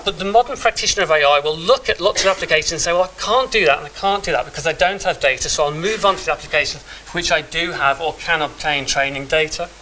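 A middle-aged man speaking to a room, his voice bright and a touch high. He talks loosely, stopping to restart sentences and filling pauses as he goes. A faint hiss sits under the recording. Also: low-quality recording. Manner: conversational, many restarts and fillers. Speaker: conference speaker. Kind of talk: live tech talk with demo. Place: meeting room.

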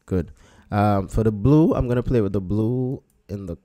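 A man with a low, mellow voice walks through a photo edit on screen. He talks in an easy, unhurried way, thinking aloud as he clicks through each step. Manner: relaxed, thinking aloud while working. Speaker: photographer teaching photo editing. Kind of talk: screen-recorded software tutorial.

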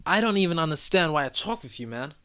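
A man's voice: fairly high pitch, big pitch swings, fast talker, bright, forceful tone, clean tone. A young man playing a casual, bewildered guy on the phone in an amateur comedy sketch. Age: young adult. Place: indoors on a sofa. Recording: faint background noise.

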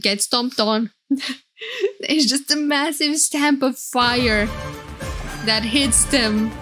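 A young woman streamer giving casual, excitable, gushing live reaction commentary. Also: sits high, slow pace. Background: game trailer music.

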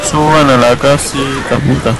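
A man with a mid-pitched voice and a Chilean accent banters in loose slang while gaming with friends. The voice chat is noisy with game sounds. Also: steady tone, moderate pace, crowd noise. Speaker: gamer. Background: zombie shooter game noise.

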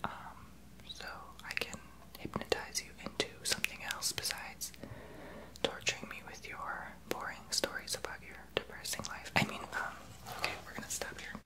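A man's voice: normal speed, natural voice, rough recording. A young man voicing a sassy, unqualified therapist in a whispered ASMR roleplay. He whispers blunt, sarcastic remarks close to the mic in a small home office. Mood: condescending. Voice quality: soft unvoiced whisper. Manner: blunt, sarcastic, gossipy sass. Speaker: ASMR creator.

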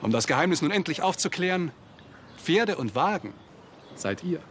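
A man's voice: fairly high pitch, very animated, moderate pace. An actor playing a cheerful middle-aged male schoolteacher in a TV drama, announcing a contest to a group in a theatrical, instructive way. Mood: playful, instructive. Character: cheerful male schoolteacher staging a Roman chariot race. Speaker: teacher leading a school contest. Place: outdoor courtyard. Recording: outdoor courtyard with background noise.

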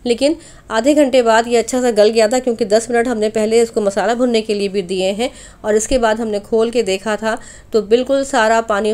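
A woman's voice: a little high, darkish tone. A woman hosting a cooking channel, narrating a recipe step by step in an instructional voice-over addressed straight to viewers.

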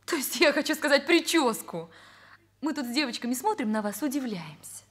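A naive young woman, a secretary in a Soviet radio play. Her voice is high and lilts widely up and down. She is coy and chatty, wheedling as she talks. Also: lively intonation, brisk, a bit bright. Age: young adult. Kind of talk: Soviet radio drama acting.

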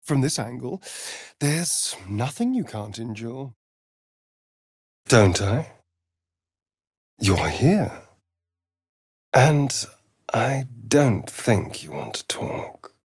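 An actor playing a sardonic, flirtatious and vain vampire rogue in a video game, with an arch, theatrical drawl. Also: male voice, medium pitch, very animated, drawn-out words, long pauses, slightly rough, no echo, clean studio sound, exaggerated voice. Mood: arch, teasing. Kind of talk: video game character acting.

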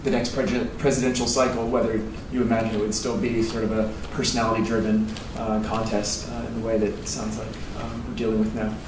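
A man in the audience asks the panel a long, hesitant question full of fillers. His delivery is flat and even. He sounds distant, in an echoing lecture hall with clear background noise. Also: on the low side, quick pace, few pauses. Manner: rambling, hesitant. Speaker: audience member, PhD student. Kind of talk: asking a question at a seminar. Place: lecture theatre. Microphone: roving handheld microphone. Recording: roomy hall, distant, noisy.

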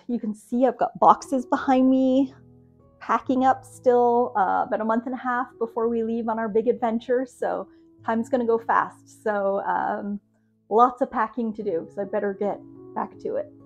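A woman's voice: on the high side, steady tone, normal speed, highs cut off, soft background music. Chatty woman vlogging from her craft studio. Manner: chatty, many ums and restarts. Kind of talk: casual chat to camera. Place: home craft studio, indoors.

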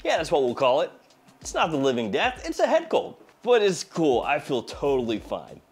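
A man in his forties hosts a casual review with a slight head cold. His pitch moves up and down in an animated way, and he drops in playful, wry asides. Soft music plays behind him. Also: moderate pace, slightly rough. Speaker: fragrance review channel host. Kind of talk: casual video product review. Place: small indoor studio.

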